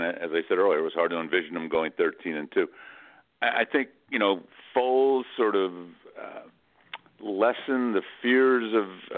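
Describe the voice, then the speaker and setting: A man talking sports casually as a radio guest, halting and often repeating words as he restarts. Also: low-pitched, narrow, tinny audio.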